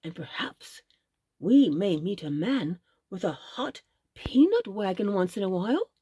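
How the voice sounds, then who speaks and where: A woman voicing a kindly old gentleman rabbit who speaks in a gentle, polite, measured way in a storybook reading. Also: medium pitch, very animated, slightly rough, no room sound.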